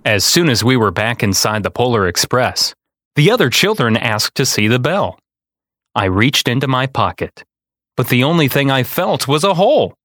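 A man reading a children's picture book aloud in a deliberate, dramatic storytelling style. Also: mid-range voice, sing-song, fairly bright tone, no room sound, studio quality. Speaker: storyteller and podcast host.

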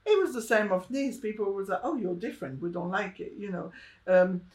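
A French woman, a shoe designer, talking about her life in a loose, animated way, restarting her sentences and acting out what other people said. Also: mid-range voice, brisk. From France. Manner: animated, restarts a lot, acts out quoted people. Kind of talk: casual interview chat.

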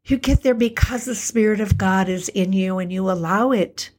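A middle-aged woman's voice, a touch hoarse, in an earnest solo podcast talk. She speaks at a medium pitch and returns to the same phrases again and again. She slows down on key words to stress them. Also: a little mellow. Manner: earnest, repetitive, slows for emphasis. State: a little hoarse. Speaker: podcast host, alternative health practitioner.

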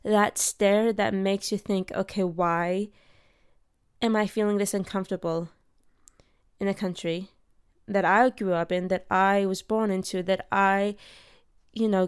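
A woman's voice: mid-range voice, clear, resonant tone, no room sound, clean studio sound. A young woman from Denmark hosting her own episode, talking in an earnest, heartfelt way and searching for her words. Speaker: podcast host. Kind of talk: personal monologue.

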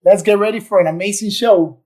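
A man's voice: high-pitched, fairly even intonation, fairly quick, dark tone. A man from Ecuador, a podcast guest, telling his story in an upbeat, enthusiastic way. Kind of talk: casual interview chat.

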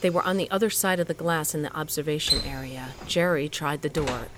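A woman's voice: low-pitched, a little fast, very noisy, lightly acted. An actress playing a determined woman doctor in an audio drama, switching between narration and urgent, emotive dialogue. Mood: tense narrating. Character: determined, bossy woman doctor and scientist with powers. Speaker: narrator and lead actor in a fiction podcast.

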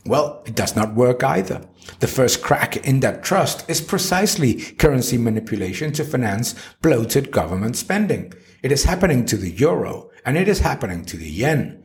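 A man's voice: mid-range voice, darkish tone. A man reading an opinion article on economics aloud in an emphatic, argumentative manner. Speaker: article narrator.

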